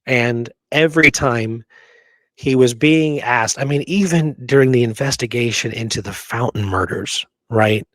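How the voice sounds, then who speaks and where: A man's voice: medium pitch, unhurried, a bit bright, no room sound. Man in casual, emphatic history talk, dry sound. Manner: conversational, emphatic, often checks with "right?". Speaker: podcast co-host. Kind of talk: casual history discussion with some reading aloud.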